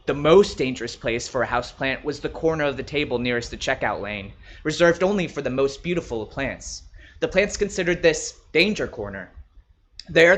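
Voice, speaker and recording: Higher-voiced man narrating a short story. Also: fairly bright tone, slight background noise. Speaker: author reading his own short story.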